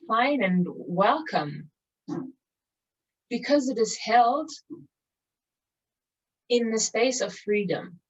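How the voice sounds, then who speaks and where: A woman leading a guided meditation in a calm, contemplative, measured manner with long pauses. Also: medium pitch. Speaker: meditation teacher. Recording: distant mic, dull muffled sound.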